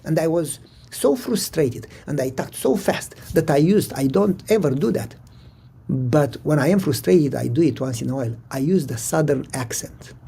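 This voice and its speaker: Romanian-accented male pastor, husky and raspy, lively storyteller. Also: a little high, normal speed. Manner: animated, acts out dialogue in the story. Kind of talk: storytelling sermon.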